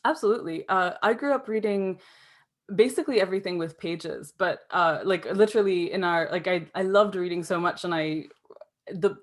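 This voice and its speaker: Canadian woman, rambling and tired. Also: fairly low pitch. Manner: rambling, self-interrupting, many fillers and restarts. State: tired from little sleep. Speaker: guest author. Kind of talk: conversational interview answers.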